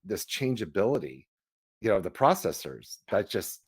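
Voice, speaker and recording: A man co-hosting a casual chat about computer history, haltingly thinking out loud as he goes. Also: fairly low pitch, sing-song, breathy edge. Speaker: podcast co-host.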